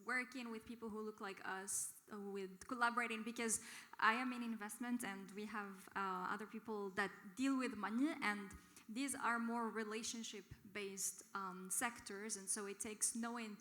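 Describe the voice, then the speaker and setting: A woman with a bright, forceful tone moderates a panel, picking her words haltingly as she goes. Her voice carries long reverb from a large conference hall. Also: medium pitch, steady tone.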